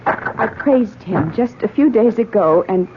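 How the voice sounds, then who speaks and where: An actress playing an anxious, guilt-ridden wife in a radio drama, speaking earnestly with faltering hesitation. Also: female voice, fairly high pitch, expressive, a little slow. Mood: anxious, guilty. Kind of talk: 1970s radio drama acting. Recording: dull, noisy old radio broadcast.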